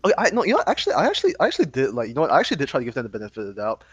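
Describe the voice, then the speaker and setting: A young man from Malaysia co-hosting a podcast, talking fast in a casual, sarcastic way and laughing often. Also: medium pitch, huge pitch swings, a bit bright, breathy edge, no echo. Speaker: podcast co-host. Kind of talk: casual chat.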